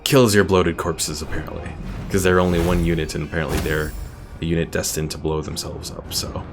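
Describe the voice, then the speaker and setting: Streamer, male, very low voice, casual play-by-play explaining his moves. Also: little pitch movement, fairly quick, gravelly. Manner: chatty, explains as he plays. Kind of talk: casual gameplay commentary. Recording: voice over game audio with music. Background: game music.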